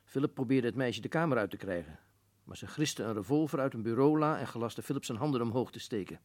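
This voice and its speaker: A man's voice: mid-range voice, lively intonation, fast talker, a little mellow, natural voice. A man playing an irritable, stubborn colonel who runs a prison, in a Dutch radio drama, speaking emphatically and dismissively. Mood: matter-of-fact. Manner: emphatic, dismissive, repeats words insistently. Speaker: actor in a radio play.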